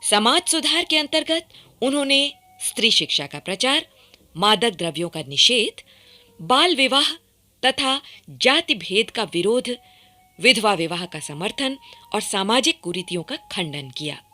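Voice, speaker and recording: Bright, expressive woman narrating formally. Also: on the high side, measured pace. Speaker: radio narrator. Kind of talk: scripted documentary narration for radio drama.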